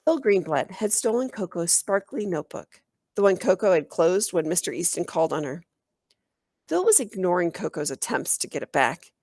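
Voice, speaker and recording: A woman reading a children's novel aloud as an expressive storyteller, in the manner of a teacher. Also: lowish voice, moderate pace, dry recording, studio quality. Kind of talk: children's audiobook narration.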